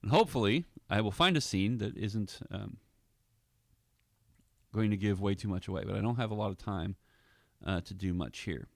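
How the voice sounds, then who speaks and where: A man chats easily through his vlog as an audio drama director. His voice sits a little low, with an amused, relaxed delivery in a dry, close recording. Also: moderate pace, gravelly, no room sound. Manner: amused, easygoing. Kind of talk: casual vlog commentary.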